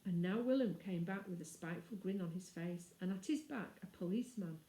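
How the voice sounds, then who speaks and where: A woman reading a children's book aloud as an expressive storyteller. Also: lowish voice, a little fast, echoey room.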